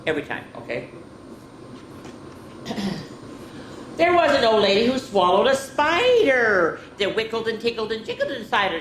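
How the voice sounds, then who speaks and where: Animated woman reading stories to children. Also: on the low side. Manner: chatty, interactive, prompting young listeners to join in. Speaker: children's story-time host. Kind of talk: animated read-aloud storytelling for children. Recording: roomy echo with background noise.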